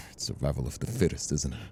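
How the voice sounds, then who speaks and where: A man's voice: rumbling low, expressive, quick pace, vocal fry, dark tone, breathy edge, full character voice. A man playing a cruel, cowardly mob boss with a sneering, taunting manner in a dramatic audio roleplay. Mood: menacing, mocking.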